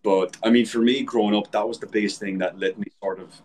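A man's voice: on the low side, steady tone, fast, darkish tone, slight background noise. A man, a voice actor, chatting casually on a video call from a home room and swearing now and then. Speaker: voice actor guest. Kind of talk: casual chat. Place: home room with shelves, indoors.

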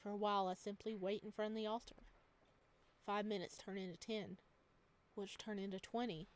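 A woman reading a visual novel aloud at home, with quick joking asides between lines of narration. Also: mid-range voice, steady tone, slight background noise. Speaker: game streamer.

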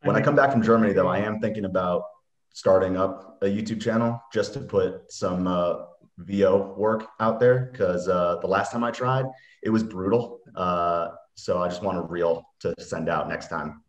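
A man's voice: deep voice, normal speed, reverberant, lo-fi sound. A young adult man chatting casually as a guest on a video call from a small room. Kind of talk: casual chat. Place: small bare room indoors. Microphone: webcam video call.

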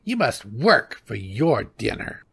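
A man voicing a greedy, grumbling old woman in a storybook, with a shrill, scolding manner. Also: very animated, slow, vocal fry, a bit bright, breathy edge, full character voice. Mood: scolding, bossy.

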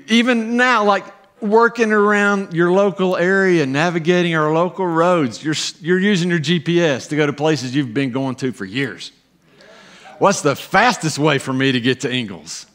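A middle-aged man preaching a sermon as pastor on a church stage, animated, with pauses and emphatic rises. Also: a little high.

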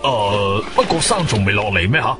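Hesitant old Cantonese caretaker. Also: male voice, on the low side, very animated, moderate pace, music in the background. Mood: hesitant, puzzled. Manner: hesitant, mumbling with many hems and sighs. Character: elderly male building caretaker, hesitant, grumbling, uneasy. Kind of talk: radio drama acting.

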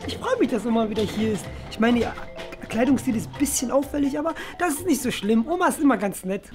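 Give me a man acting as an agreeable young grandson in a children's sketch. The voice is very high and quick, eager and boyish, with music underneath. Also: expressive. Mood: polite, chatty. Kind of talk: scripted family sketch for children. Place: indoor rooms, camera a short distance away.

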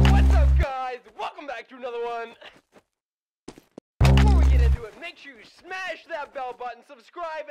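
A man voicing an over-the-top, hyperactive young YouTuber in an exaggerated, shouty manner for a game voice pack. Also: very high-pitched, full character voice. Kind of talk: video game announcer voice pack.

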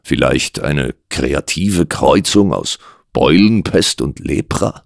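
A man's voice: a bass voice, lively intonation, slow pace, gravelly, no room sound, exaggerated voice. A man voicing a sceptical doctor, a detective's companion in an audiobook, with a dry, mocking manner. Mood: dry sarcasm.

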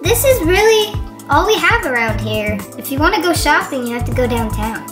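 A woman voicing a kindly, patient female stable hand, polite and gentle, in a children's role-play story. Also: high-pitched, sing-song, brisk, music in the background.

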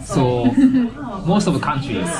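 A man's voice: medium pitch, sing-song, a little slow, crowd noise, poor audio. A man hosting a casual dinner interview in English, asking questions with lots of fillers. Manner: friendly, prompting questions, filler-heavy. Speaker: host. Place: small restaurant indoors.

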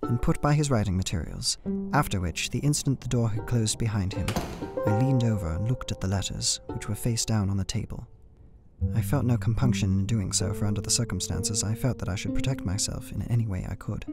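An actor playing an anxious young solicitor, reading his journal in formal, measured language for a radio play. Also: fairly low pitch, natural voice. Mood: uneasy. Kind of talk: dramatic first-person narration, radio play. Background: music.

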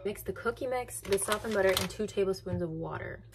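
A woman's voice: medium pitch, fairly quick, heavy background noise. A young woman chatting casually through a bake-with-me vlog in her home kitchen, narrating each step as she goes. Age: young adult. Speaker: vlogger.

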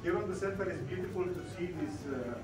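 A man with a Spanish accent gives visitors a guided cellar tour, speaking courteous, halting English. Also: high voice, expressive, music in the background. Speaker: wine cellar guide, restaurant staff. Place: indoor wine cellar with ambient noise. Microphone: handheld camera mic at some distance. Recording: echoey room, clear background noise, handheld camera.

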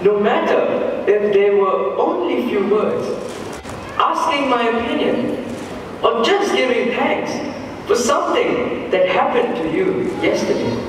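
A man reciting a stage narration slowly and gently, pausing between phrases, as a voice over a large hall's speakers. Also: very high-pitched, animated, a little hiss or hum. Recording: echoey hall recorded from far off.

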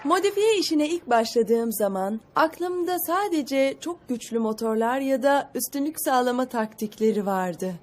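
A woman playing a confident, techy young mechanic in a cartoon dub, brisk and self-assured. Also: high voice, expressive, a little mellow. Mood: proud. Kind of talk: Turkish cartoon dub acting.

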